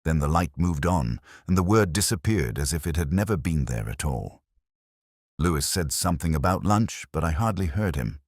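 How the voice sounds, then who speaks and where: Man, very deep voice, expressive intonation, measured scripted narration, reflective detective storyteller, formal. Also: no room sound, clean studio sound.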